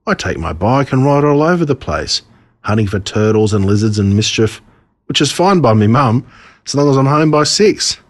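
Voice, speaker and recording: An Australian man reading a scripted children's storybook in a dramatic, deliberate way, relishing the gruesome parts. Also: medium pitch, lively intonation. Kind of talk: scripted storybook narration told as a boy.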